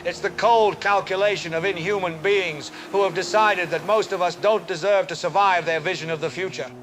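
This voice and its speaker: A man's voice: sits high, steady tone, moderate pace, noisy background, lightly acted. A man playing a fervent, paranoid conspiracy broadcaster in an apocalyptic radio drama, urgent and accusatory. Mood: grim, accusing.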